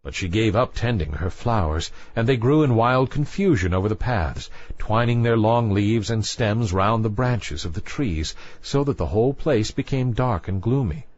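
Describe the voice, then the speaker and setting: A man's voice: on the low side, expressive, moderate pace, a little mellow, no echo, dull audio. A man reading a scripted bedtime fairy tale as a storyteller.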